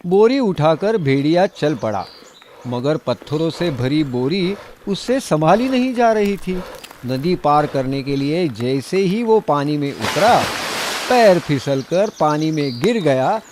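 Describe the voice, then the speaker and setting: A man narrating a scripted children's story in an expressive, dramatic storytelling manner. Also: fairly high pitch, takes it slowly, a little hiss or hum.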